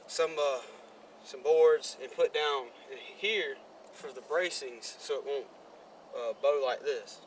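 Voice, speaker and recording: A young man co-hosting a casual how-to vlog, explaining steps in a plain, halting way with many "uh" pauses, recorded outdoors and under a carport on a camera mic. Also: a little high, fairly bright tone, a little hiss or hum, poor audio. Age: young adult. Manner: plain, hesitant, halting with uh's. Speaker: co-host. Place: outdoors in a yard and an open carport.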